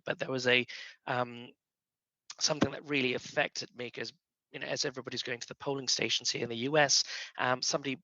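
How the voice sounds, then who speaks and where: Middle-aged man with a bright, creaky voice. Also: medium pitch, dry recording, lo-fi sound. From Malaysia. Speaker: panelist. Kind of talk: personal reflection in a panel discussion.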